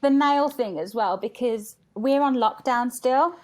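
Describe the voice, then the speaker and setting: A woman with an English accent and a slightly high voice. She chats informally as a guest on a podcast, with a modest, self-deprecating way of talking. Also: unhurried. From England. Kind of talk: casual chat.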